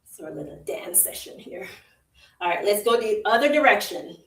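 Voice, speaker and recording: A woman's voice: medium pitch, animated, normal speed, a bit bright. Jamaican woman coaching a workout, roomy. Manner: encouraging, repeats short cues, laughs easily. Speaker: fitness instructor. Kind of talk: live virtual workout coaching. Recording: roomy, distant from the mic.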